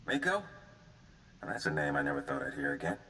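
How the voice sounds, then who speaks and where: A brisk spy agent in a video game, talks quick, formal and urgent, noisy ambience behind him. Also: male voice, rumbling low, huge pitch swings, pushed and bright, heavily acted. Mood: surprised. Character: brisk, businesslike male intelligence agent giving warnings.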